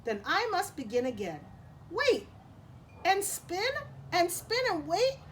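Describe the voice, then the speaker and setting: A woman's voice: very high-pitched, huge pitch swings, slow pace, fairly bright tone, a little hiss or hum, voice pushed up, exaggerated voice. A woman voicing a kindly old female spider in a storybook, patient and reassuring, with a singsong manner. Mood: resigned, cheerful.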